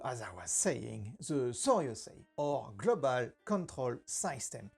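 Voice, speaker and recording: A man's voice: mid-range voice, darkish tone, slightly rough. A man from France with a French accent narrating a history video, with wry joking asides. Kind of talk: scripted narration.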